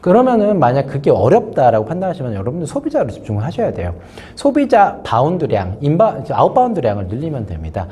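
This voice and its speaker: A man's voice: mid-range voice, huge pitch swings, fast talker, hardly any pauses, warm tone. A man hosting a spoken business lesson, talking casually with many fillers and asides while nursing a sore throat from a cold. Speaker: host giving a business lesson. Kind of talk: casual spoken lecture to camera.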